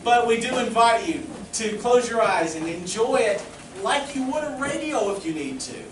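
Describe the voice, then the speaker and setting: A man's voice: very high-pitched, very animated, normal speed. A man giving a live welcome speech to an audience as presenter of a student production. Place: live in a community playhouse. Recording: echoey hall, distant mic, clear noise.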